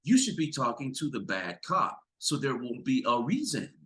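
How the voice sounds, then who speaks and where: A man with a deep voice and an American accent, a psychologist speaking as a podcast guest, talking with emphatic, building intensity. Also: wildly swooping pitch, normal speed, pushed and bright. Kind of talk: impassioned conversational talk.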